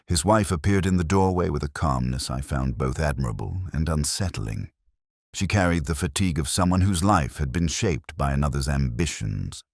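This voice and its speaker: A man reading a scripted first-person detective story in a measured, solemn, literary manner. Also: very deep voice, dry recording, studio quality. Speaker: narrator.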